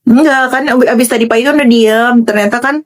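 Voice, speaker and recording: A woman's voice: on the high side, brisk. A woman gossiping animatedly in casual slang on a live stream. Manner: animated, gossipy, laughs while telling.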